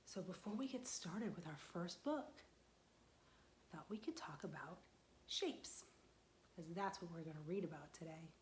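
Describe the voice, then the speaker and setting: A young woman librarian leading a storytime for young children, asking questions in a sing-song voice and dropping to soft whispers for suspense. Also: lowish voice, normal speed, pauses often, a little hiss or hum.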